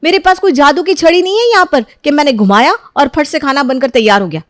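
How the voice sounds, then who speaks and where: A woman voicing a careless, defensive young wife in an animated story. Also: high voice, fast talker, dry recording, studio quality, pitched above the performer's own voice, lightly acted. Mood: defiant. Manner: defensive, argumentative.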